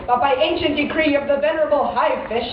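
A woman narrates an audio drama in a grand, mock-serious tone, her voice pitched high. The recording is tinny and phone-like. She sounds distant in a very echoey space. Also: fast, background noise. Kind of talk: scripted mock-epic narration.

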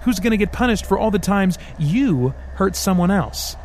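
A man voicing a smooth, sarcastic male devil who teases and threatens by turns in an audiobook. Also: high-pitched, expressive, normal speed, darkish tone, a little hiss or hum, voice pushed up. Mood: taunting. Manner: teasing, sarcastic, theatrical. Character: sly mocking male devil, smooth, menacing.

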